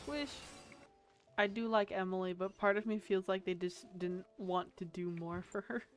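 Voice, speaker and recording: A woman's voice: medium pitch, little pitch movement, normal speed, faint music behind. A woman hosting a game session with casual reaction commentary, chatting with a friend. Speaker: gaming host.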